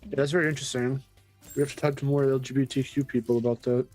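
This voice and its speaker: A man's voice: medium pitch, flat delivery, fast talker, a little mellow, faint music behind, rough recording. A man from the United States chatting on a stream, rambling and joking with frequent restarts. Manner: rambling, jokey, restarts often. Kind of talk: casual chat.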